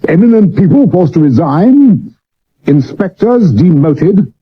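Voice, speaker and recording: An actor playing an imperious senior Victorian police chief in a 1970s radio drama, clipped, commanding and blustering. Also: male voice, high-pitched, sing-song, slow pace, slightly rough, exaggerated voice. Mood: agitated, commanding. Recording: dull old medium-wave radio recording.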